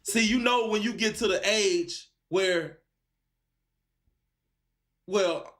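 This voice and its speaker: Male live-stream host, high register, casual and encouraging, heavy slang. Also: takes it slowly, fairly bright tone. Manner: encouraging, slangy, repeats phrases for emphasis. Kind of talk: casual chat with a caller.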